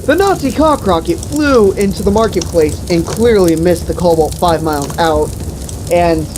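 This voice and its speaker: A low-voiced woman spins an improvised fantasy tale for friends, swearing freely and treating it like a crude epic. Her delivery is slow and deliberate. A steady rain-like sizzle runs behind her. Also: noisy background. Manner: profane, mock-epic, deliberate. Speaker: storyteller and host. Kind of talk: improvised comic fantasy storytelling among friends.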